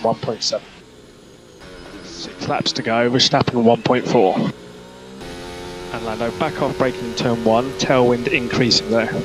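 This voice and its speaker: A man working as a race engineer gives terse, measured instructions over team radio, often repeating them. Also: medium pitch. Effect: team radio transmission. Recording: noisy team radio with vehicle sound.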